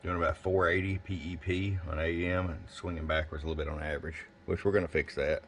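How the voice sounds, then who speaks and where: A man's voice: low voice, little pitch movement, fast talker. A man giving a casual bench review of gear, plain-spoken and thinking aloud as he goes. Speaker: product reviewer. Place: indoor electronics workbench. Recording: faint background noise.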